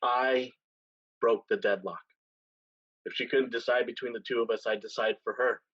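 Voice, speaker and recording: A man acting a seasoned, weary pirate captain in a staged play reading, speaking in a measured, deliberate way with dramatic pauses. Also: mid-range voice, steady tone, fairly bright tone, breathy edge, highs cut off. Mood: rueful storytelling. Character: seasoned male pirate captain, weary, solemn, tender, once brash.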